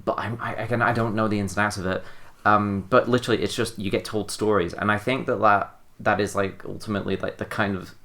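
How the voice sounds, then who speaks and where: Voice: deep voice, level tone, fast, fairly bright tone. A podcast co-host chatting casually about games in a rambling, hedging way, with lots of filler.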